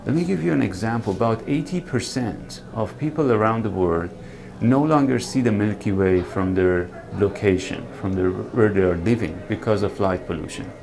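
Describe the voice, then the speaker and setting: Earnest man, low voice. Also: normal speed, gravelly, a crowd in the background. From Iran. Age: 40s. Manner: calm, earnest and reflective, with small hesitations. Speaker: night sky photographer. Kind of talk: documentary interview monologue.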